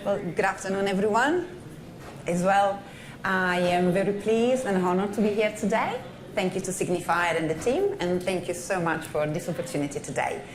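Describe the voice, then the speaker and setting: A woman's voice: medium pitch, moderate pace. A woman from Italy with an Italian accent, speaking enthusiastically as a conference panelist. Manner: enthusiastic, upbeat. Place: conference hall stage. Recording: echoey hall, faint noise.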